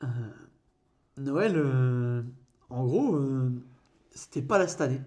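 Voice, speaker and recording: Young man telling a halting, filler-filled story. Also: mid-range voice, animated, dark tone. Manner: hesitant, filler-heavy storytelling. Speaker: podcast co-host. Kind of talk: casual chat while painting.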